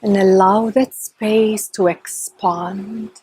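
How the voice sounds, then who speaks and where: A woman leading a guided meditation in a calm, gentle, soft and breathy voice, with long unhurried pauses between short phrases. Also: medium pitch, slight background noise, rough recording. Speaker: meditation teacher. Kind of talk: guided meditation, slow and instructional.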